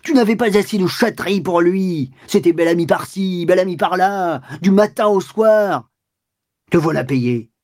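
Reader playing a furious older husband, shouting, loud. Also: mid-range voice, normal speed, voice pushed up, natural voice. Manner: shouting, raging accusations. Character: furious wealthy older man, blustering. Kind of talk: audiobook character reading.

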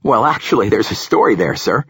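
A man voicing a nervous, eager young military lieutenant in an audiobook, deferential and halting. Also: on the low side, expressive, sharp tone, breathy edge, no echo, studio quality, pitched above the performer's own voice, full character voice. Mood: eager, storytelling. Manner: deferential, halting, peppers speech with sir. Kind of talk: audiobook character dialogue.

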